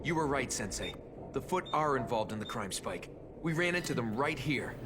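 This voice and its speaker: A man's voice: medium pitch, animated, moderate pace, lightly acted. A man voicing a serious, responsible teenage turtle leader in a video game, decisive and no-nonsense. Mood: commanding. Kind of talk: video game cutscene acting.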